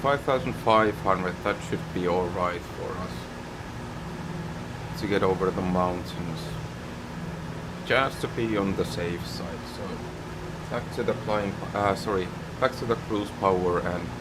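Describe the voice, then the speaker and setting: A man with a Finnish accent and a lowish voice comments live on a flight simulator. He speaks very slowly, in short halting phrases, as if working through each step aloud. A loud engine-like drone runs behind his voice. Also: very noisy. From Finland.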